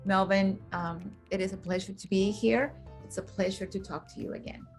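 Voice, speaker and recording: A woman's voice: mid-range voice, fairly even intonation, normal speed, darkish tone, soft background music. A middle-aged woman from Guatemala telling her personal story as an interview guest, earnest and emphatic, repeating phrases for weight. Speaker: podcast guest, speaker and executive coach.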